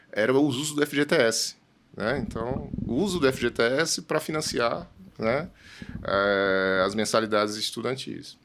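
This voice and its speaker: A man with a Brazilian Portuguese accent hosting a conversational business discussion in a halting way, often adding tag questions. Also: lowish voice, fairly bright tone, a little hiss or hum. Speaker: host.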